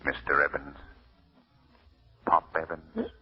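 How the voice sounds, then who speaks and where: An actor playing an eerie, commanding invisible avenger in an old-time radio mystery, husky and deep on a muffled recording. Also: male voice, huge pitch swings, slow pace, exaggerated voice.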